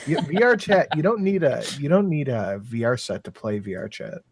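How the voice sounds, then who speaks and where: A man's voice: medium pitch, very animated, normal speed, slightly rough. A man chatting casually on a group stream, blunt and crude.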